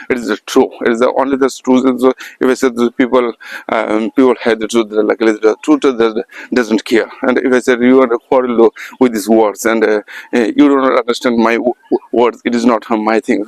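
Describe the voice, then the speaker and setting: A man from India with an Indian English accent, speaking English as a second language in a halting, repetitive motivational monologue to camera, filmed at a calm, quiet spot. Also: medium pitch, no echo, low-quality recording. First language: not English. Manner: halting, repetitive non-native phrasing. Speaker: English learner vlogger.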